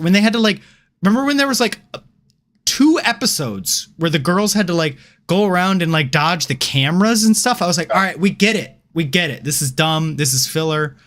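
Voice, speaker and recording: A man's voice: on the high side, fairly bright tone. A man co-hosting a podcast, chatting casually in an informal, sweary way and often saying "like". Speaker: podcast co-host. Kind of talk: casual chat about TV and games.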